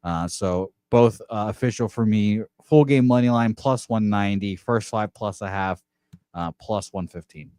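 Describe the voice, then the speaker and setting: A man's voice: lowish voice, moderate pace, warm tone, clear, resonant tone, no echo, clean studio sound. A man whose first language is not English, giving his betting picks casually, with lots of fillers and self-corrections. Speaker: betting analyst. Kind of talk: sports betting radio talk.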